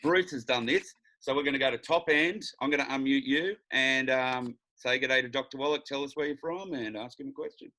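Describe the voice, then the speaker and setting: A man's voice: mid-range voice, brisk, few pauses, bright, forceful tone, low-quality recording. A man hosting an online question-and-answer session, introducing callers and giving them instructions. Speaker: host moderating callers.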